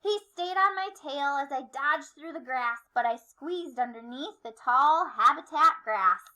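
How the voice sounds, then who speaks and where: A woman reading a children's story aloud in expressive, rhythmic, sing-song rhyme. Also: high-pitched, measured pace. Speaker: storybook reader.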